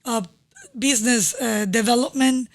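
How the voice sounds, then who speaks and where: A woman in her forties with a Venezuelan Spanish accent is a guest in a podcast studio. Her English is halting and warm, with frequent hesitations. Spanish is her first language, and it shows in her phrasing. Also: fairly high pitch, steady tone. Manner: warm, halting, with hesitations and non-native grammar. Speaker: guest, real estate agent. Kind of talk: casual interview.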